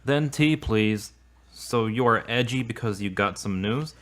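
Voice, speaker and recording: A man playing a devout, easily wounded boyfriend in an audio drama, earnest and expressive. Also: medium pitch, unhurried, lightly acted. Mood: caring, mild. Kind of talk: acted audio drama dialogue.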